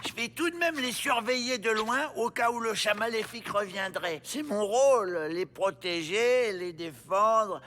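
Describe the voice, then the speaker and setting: Very high-pitched, melodramatic cartoon protector. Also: male voice, a little slow, few pauses. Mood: suspicious, solemn. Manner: melodramatic, grandiose. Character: paranoid, self-important guard on a heroic mission. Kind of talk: French animated comedy dubbing. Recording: loud music behind.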